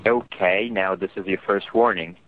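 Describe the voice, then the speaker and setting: A man's voice: low voice, fairly even intonation, moderate pace. A man working as a customer support agent, talking formally and patiently over a telephone. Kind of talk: polite scripted phone service talk. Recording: thin, noisy telephone line.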